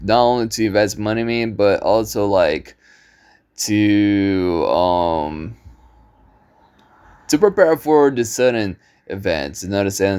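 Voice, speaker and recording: A man's voice: lowish voice, darkish tone. Young guy from brazil rambling on, lots of "like" and "so basically", laughs a bit. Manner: rambling, many fillers and restarts; frequent light laughs. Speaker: vlogger and online teacher. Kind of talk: casual vlog monologue.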